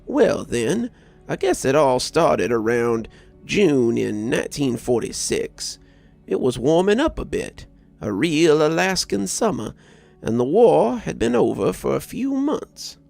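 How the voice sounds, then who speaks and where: A man voicing a folksy elderly grandfather, a war veteran telling stories of his youth in an unhurried, gravelly voice. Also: fairly high pitch, sing-song, darkish tone, faint music behind, exaggerated voice. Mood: wry, nostalgic. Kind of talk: first-person storytelling.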